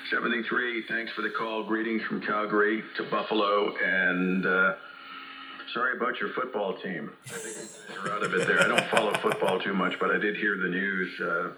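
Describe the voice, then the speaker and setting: A man's voice: on the low side, level tone, normal speed, bright, forceful tone. A man chatting as a ham radio operator, heard over an AM radio. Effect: AM shortwave radio. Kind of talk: unscripted radio contact chat. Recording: echoey, faintly noisy AM radio signal.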